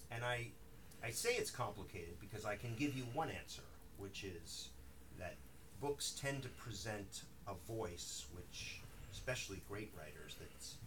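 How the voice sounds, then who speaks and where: A man, an author at a bookstore event, speaking thoughtfully in a rambling way with many restarts and asides. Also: low voice. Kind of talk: live talk with audience Q&A. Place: bookstore reading room. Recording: distant mic in a noisy room.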